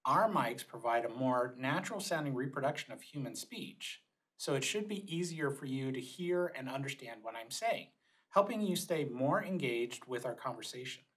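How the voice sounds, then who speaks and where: A man's voice: mid-range voice, animated, moderate pace, a bit bright, rough recording. A middle-aged man explaining a product in a scripted presentation in a small meeting room. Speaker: product marketing manager presenting a demonstration.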